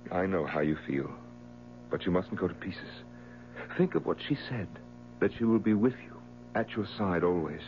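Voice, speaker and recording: An actor playing a sober, consoling friend with measured delivery in a 1970s radio drama. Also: male voice, sits low, lively intonation. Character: steady, consoling male friend of the mourner. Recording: muffled old radio recording, mains hum.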